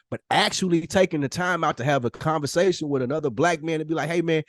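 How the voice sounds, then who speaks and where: A man's voice: a little high, dry recording, studio quality. A man co-hosting a podcast in casual, candid conversation, speaking earnestly and emphatically with plenty of swearing. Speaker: podcast co-host.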